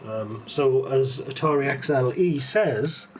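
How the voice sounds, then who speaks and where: A man's voice: mid-range voice, lively intonation, takes it slowly, a little hiss or hum. A man with a Geordie accent hosting a live tech stream, musing aloud with lots of ums and restarts as he answers viewers. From Tyneside, England.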